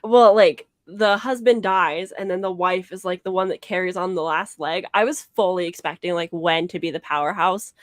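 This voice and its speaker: A woman's voice: on the low side, normal speed, hardly any pauses, dry recording, clean studio sound. A young adult woman chatting casually about books, informally peppering her talk with "like", on a video call. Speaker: book club guest. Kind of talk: casual animated book discussion. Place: small home room with bookshelves, video call. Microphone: close desk microphone.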